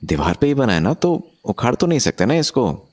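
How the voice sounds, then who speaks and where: A man's voice: medium pitch, sing-song, normal speed, darkish tone. An actor playing an easygoing, dismissive husband and young father in a horror audio drama, speaking colloquially and telling the story. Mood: casual, dismissive. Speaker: actor and first-person narrator. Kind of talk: Hindi horror audio drama, acted dialogue and narration.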